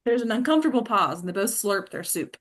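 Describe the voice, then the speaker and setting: A woman reads stage directions aloud at a table read. Her voice sits at an average pitch, and the scripted narration has an occasional stumble. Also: expressive, quick pace, fairly bright tone. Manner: stumbles over words.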